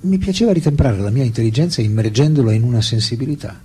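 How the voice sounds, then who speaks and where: Very husky, candid French intellectual. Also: male voice, medium pitch, lively intonation, fast talker, dark tone. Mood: intimate, musing. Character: French intellectual man recalling childhood and love affairs, candid, detached. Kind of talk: radio drama acting, memoir monologue and dialogue.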